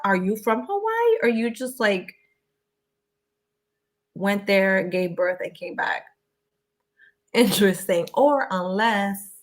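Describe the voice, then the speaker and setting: A young woman from Connecticut whose first language was Spanish, chatting casually as she reads out and answers viewer questions on a live stream. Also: medium pitch. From Connecticut, USA. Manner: chatty, reads comments aloud, laughs often.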